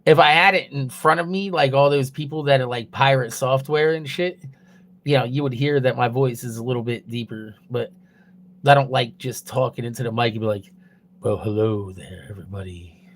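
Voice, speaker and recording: A man's voice: mid-range voice, fairly even intonation, fairly quick, a bit bright. Young male streamer talking loosely into a phone, profane and rambling, laughs at his own jokes. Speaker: livestreamer. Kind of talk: casual profane stream chatter. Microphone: mobile phone.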